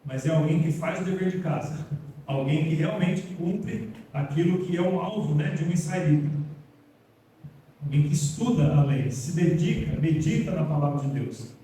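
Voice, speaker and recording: A man's voice: fairly high pitch, lively intonation, moderate pace, mellow, slight background noise. A man preaching an earnest, plainspoken sermon to his congregation. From Brazil. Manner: earnest, plainspoken preaching, addresses listeners as brothers. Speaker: pastor. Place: church hall. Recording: echoey hall, voice from far off.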